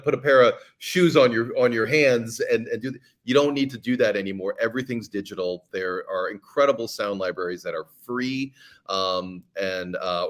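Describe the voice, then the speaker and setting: A man's fairly low voice, talking casually as a podcast guest. He gives practical advice in a chatty way, with many ums and restarts. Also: fairly bright tone.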